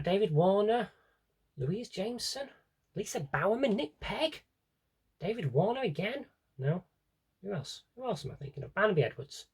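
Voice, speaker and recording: A man's voice: high voice, husky. A man with a British accent chatting casually and wryly through a rambling show-and-tell as a vlogger, with self-deprecating asides. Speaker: vlogger showing a CD collection.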